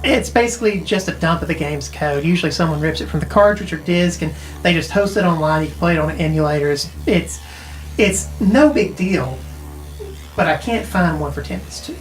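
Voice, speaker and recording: A woman's voice: low voice, lively intonation, brisk, soft background music, rough recording. An actress playing a tech-savvy woman who explains things informally and hedges as she goes, in naturalistic audio drama dialogue. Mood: explanatory, intrigued. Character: tech-savvy, casual woman who knows retro arcade games. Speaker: character in a mystery audio drama.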